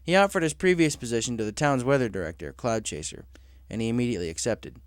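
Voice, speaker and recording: A man's voice: medium pitch, expressive, fast talker, clear, resonant tone, no echo, clean studio sound. A man reading scripted fiction aloud as a story narrator.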